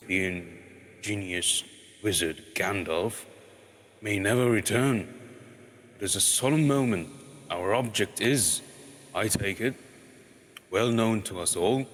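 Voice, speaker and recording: A grave, self-important old dwarf chieftain, played by a man addresses his company in a storybook tale. The voice sits very low and moves slowly through grand, wordy phrases. A long reverb hangs around it. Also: a bit bright. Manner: pompous, slow speechmaking. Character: solemn, pompous old male dwarf leader, grandly long-winded.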